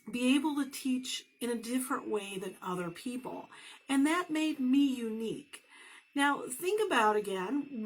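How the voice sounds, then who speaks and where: A woman's voice: sits high, poor audio. A woman and former math teacher giving an earnest, encouraging motivational talk to her viewers, with playful asides.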